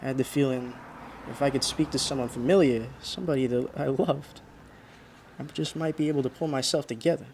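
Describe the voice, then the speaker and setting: An actor playing a frightened, exhausted driver in a radio drama, halting and muttering to himself. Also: male voice, medium pitch, noisy background, natural voice. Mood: fearful, desperate. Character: frightened, exhausted male driver haunted by a phantom. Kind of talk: radio drama monologue and dialogue.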